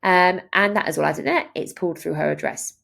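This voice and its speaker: A woman in her thirties giving an explanatory, step-by-step software walkthrough as a tutorial presenter. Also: lowish voice, fairly quick, vocal fry, a little hiss or hum. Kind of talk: instructional screen-share walkthrough.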